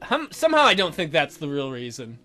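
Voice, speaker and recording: A woman's voice: deep voice, huge pitch swings, a little fast, bright, forceful tone. A woman hosting a let's play, reading a game's narration aloud with casual commentary. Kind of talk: animated game read-aloud with casual commentary. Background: music.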